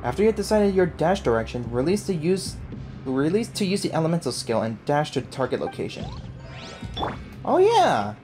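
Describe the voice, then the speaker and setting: A woman's voice: low voice, big pitch swings, normal speed, dark tone. A woman giving casual gameplay commentary as a streamer, slangy and reactive, talking to herself and viewers. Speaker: gaming streamer. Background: game music.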